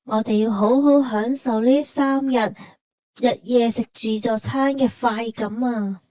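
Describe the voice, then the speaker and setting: A woman with a Hong Kong Cantonese accent gives casual travel narration in a relaxed, mellow voice that sits a little high. Her delivery is colloquial and matter-of-fact, like scripted banter between companions. The recording is close and dry. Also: unhurried, no room sound.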